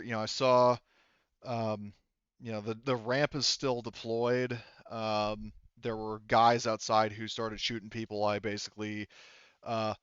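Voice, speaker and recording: A man working as game master of a tabletop roleplaying game, narrating casually and haltingly, correcting himself as he explains on a headset. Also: fairly low pitch, clear, resonant tone, dry recording, highs cut off.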